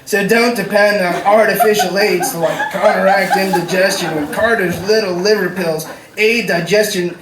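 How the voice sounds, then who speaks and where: An actor playing a goofy, laid-back dude delivering a comic radio commercial in slacker style. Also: male voice, high-pitched, steady tone, moderate pace, hardly any pauses, a crowd in the background, lightly acted. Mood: goofy, amazed. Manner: slacker drawl, goofy amazement. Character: spaced-out laid-back dude pitching pills in a spoof ad. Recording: echoey, distant stage recording.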